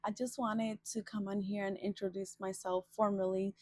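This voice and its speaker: A woman's voice: mid-range voice, level tone, normal speed, a little mellow, no echo, studio quality. A woman in her twenties from the Dominican Republic, chatting casually and ramblingly as a vlogger getting ready. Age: 20s. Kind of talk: casual get-ready-with-me chat.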